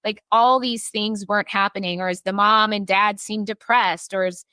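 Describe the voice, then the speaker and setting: A woman's voice: medium pitch, steady tone, moderate pace, pushed and bright, clean tone, no room sound. A woman guest on a podcast, telling personal stories in a frank, talkative way and swearing casually.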